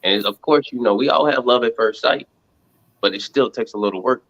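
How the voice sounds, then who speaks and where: A man talks in a dull, lo-fi recording. His pitch is middling, and he gives casual, reflective interview answers, often halting as he thinks aloud. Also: a bit bright. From Montgomery, Alabama, United States. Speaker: reality show contestant interviewed as guest.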